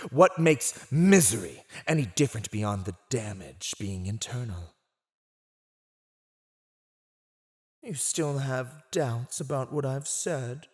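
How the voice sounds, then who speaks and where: A man playing a silver-tongued villain in an ASMR roleplay, condescending, theatrical and seductively persuasive as he teases a captive. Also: medium pitch. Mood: mocking, condescending. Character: silver-tongued, teasing male villain gloating over a captive. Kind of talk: scripted ASMR roleplay acting.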